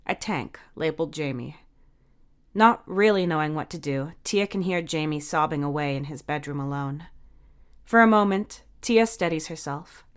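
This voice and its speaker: Narrator: woman, slightly low voice, reading scripted fiction. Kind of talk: scripted audio story narration.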